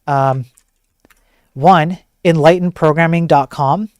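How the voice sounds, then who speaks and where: A man with a soft voice chatting casually to viewers as a livestream host, in an informal, joking, rambling way. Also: fairly high pitch, slow pace, no echo.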